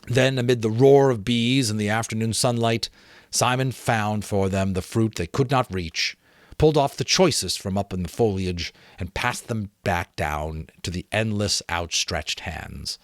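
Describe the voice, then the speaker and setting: A middle-aged man with a fairly low voice narrates a novel as an amateur audiobook reader. His delivery is dramatic and expressive, with measured phrasing. The sound is close and dry, with very little room in it. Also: dry recording.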